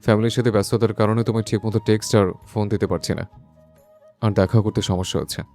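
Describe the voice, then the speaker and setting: A male actor voices a young husband from a romantic audio story, his voice low and dark. He drops English into his speech as lover and husband. Music plays underneath. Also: fairly even intonation, quick pace, natural voice. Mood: pleading, persuasive. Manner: sprinkles English endearments into speech. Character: irritable young husband, tender lover to his girlfriend, scornful to wife.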